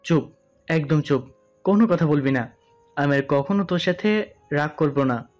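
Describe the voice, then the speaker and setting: A devoted young man from a romantic audio drama, played by a man. His voice sits a little high and carries plenty of emotion. Soft music runs behind it. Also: normal speed, muffled recording, natural voice. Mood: tearful scolding. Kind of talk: Bengali romantic audio story acting. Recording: music under the voice.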